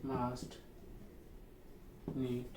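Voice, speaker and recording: A man with a Bangladeshi accent teaching a grammar lesson in a deliberate, repetitive way, checking in with his pupils. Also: mid-range voice, monotone. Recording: echoey, distant mic, noisy room.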